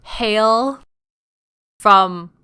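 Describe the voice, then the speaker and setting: A young woman co-hosting a casual podcast, playful and jokey, swinging from loud bursts to quiet asides. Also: mid-range voice, drawn-out words, clear, resonant tone. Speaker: podcast co-host. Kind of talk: casual chat.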